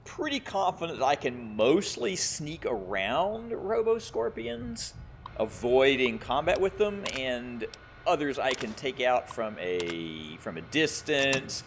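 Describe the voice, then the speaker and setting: A man's voice: mid-range voice, huge pitch swings, measured pace, a little hiss or hum. A man giving casual video game commentary, thinking aloud and explaining as he plays. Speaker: gamer playing and commentating.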